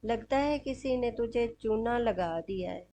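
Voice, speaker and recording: A woman's voice: on the high side, measured pace, mellow, faint music behind. A woman voicing a mocking, nagging farmer's wife in a cartoon moral story. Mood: scornful.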